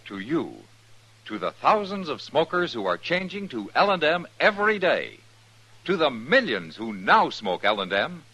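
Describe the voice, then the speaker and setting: A man reading a 1950s radio commercial as announcer, husky, with polished, measured phrasing. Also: a little high, big pitch swings, sharp tone, lo-fi sound. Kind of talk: 1950s radio advertising read.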